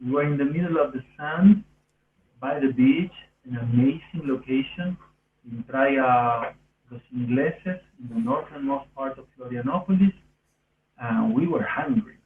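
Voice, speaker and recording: A man's voice: mid-range voice, measured pace, slight background noise. Argentinian man on a distant, phone-like line. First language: Spanish. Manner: earnest, rambling, non-native English phrasing. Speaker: podcast speaker. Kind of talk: casual autobiographical storytelling. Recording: roomy, distant, phone-like thin sound.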